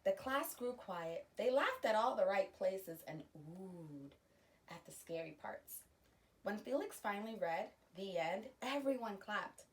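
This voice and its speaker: A woman reading a children's picture book aloud, pausing to explain the story to young listeners. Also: mid-range voice, expressive, recorded from a distance, poor audio. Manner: slow, gentle storytime delivery with explanatory asides. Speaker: children's read-aloud host.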